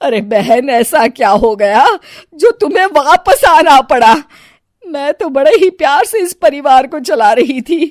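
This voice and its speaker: A woman playing a domineering, scheming stepmother-in-law in a melodramatic story video, with a shrill, haughty delivery. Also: expressive, unhurried, no echo, clean studio sound. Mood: nervous, fawning. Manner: shrill, haughty, stammers when frightened.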